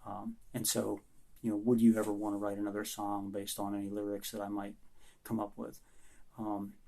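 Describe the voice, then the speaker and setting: A man who is losing his voice answers interview questions about writing song lyrics, speaking in a halting, thoughtful and sincere way with many pauses. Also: fairly low pitch, a little mellow. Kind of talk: recorded self-interview answers.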